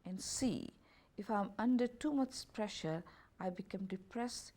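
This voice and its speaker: A woman therapist reading out a self-assessment questionnaire, going through each option as A, B and C at an unhurried pace, with slightly non-native grammar. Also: mid-range voice, darkish tone. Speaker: holistic health and beauty therapist.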